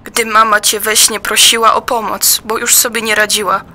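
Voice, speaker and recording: A woman giving a dramatic poetry recitation in a plaintive, reproachful and deliberate manner. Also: fairly high pitch, flat delivery, pushed and bright. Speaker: performer in an audio play. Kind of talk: dramatic recitation of a personal poem.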